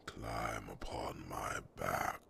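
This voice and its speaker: Huge old dragon mentor for ASMR fantasy roleplay: heavily gravelled, crisp-edged half-whisper, wise and protective, noisy ambient backdrop. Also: male voice, rumbling low, steady tone, bright, forceful tone, heavily acted. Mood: solemn, urging. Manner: slow, solemn, formal phrasing with pondering hums.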